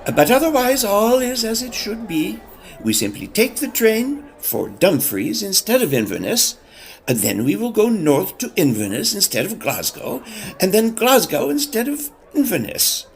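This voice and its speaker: A flamboyant impresario of a Victorian opera company in a comic audio play. His speech is grandiose and unhurried, swinging widely in pitch, and easily turns flustered. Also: sing-song, full character voice. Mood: flustered, reassuring. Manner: florid, grandiose, theatrical. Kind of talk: period comedy audio drama acting.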